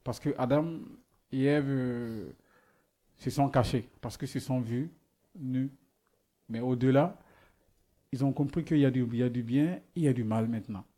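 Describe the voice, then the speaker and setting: A man's voice: medium pitch, moderate pace, long pauses, mellow. A man who is not a native speaker preaches a sermon, asking rhetorical questions and often restarting as he searches for words. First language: not French.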